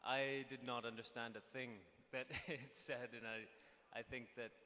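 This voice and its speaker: A man's voice: mid-range voice, level tone, unhurried, sharp tone, slight background noise. Hesitant man speaking on a conference stage. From Pakistan (born in the United States). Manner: hesitant, many fillers and restarts. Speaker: panel speaker, documentary filmmaker. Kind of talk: conference panel talk. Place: conference stage in a large hall. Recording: hall reverb with faint ambience.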